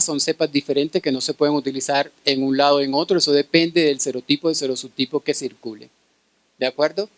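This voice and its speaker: Man, Colombian Caribbean accent, middle-aged, slightly high voice, conference lecture delivery, frequent tag questions, little room echo. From Cartagena, Colombia. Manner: checks in with listeners through frequent short tag questions. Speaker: pediatric infectious disease specialist giving a lecture.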